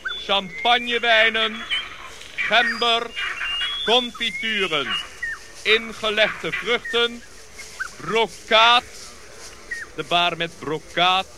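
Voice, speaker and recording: An actor playing an expedition officer who declaims clipped orders in a radio drama. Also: male voice, sits high, drawn-out words, pauses often, noisy background. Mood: brisk, commanding. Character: commanding expedition officer ordering a procession of bearers.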